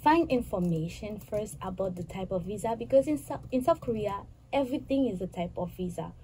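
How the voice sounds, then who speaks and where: A woman in her twenties from Haiti, a guest answering interview questions in an earnest, halting way, often restarting her phrases. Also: mid-range voice, expressive, mellow, slight background noise, poor audio. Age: 20s.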